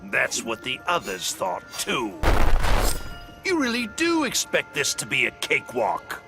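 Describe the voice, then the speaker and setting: A man's voice: mid-range voice, wildly swooping pitch, measured pace. A man playing a mocking, theatrical clown villain in a video game, taunting his opponent. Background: music.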